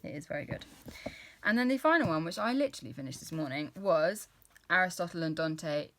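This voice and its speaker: A woman's voice: low voice, animated, fairly quick, fairly bright tone. A British woman in her thirties, chatting casually and enthusiastically about books she has read, rambling a little and using many fillers. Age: 30s. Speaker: book vlogger. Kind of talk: casual book review chat.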